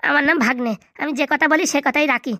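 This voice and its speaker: Young cartoon dude, super fast slangy banter, high pitched-up voice, cheeky n excitable. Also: male voice, no room sound, studio quality, exaggerated voice. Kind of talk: comedy cartoon dubbing in colloquial rural dialect.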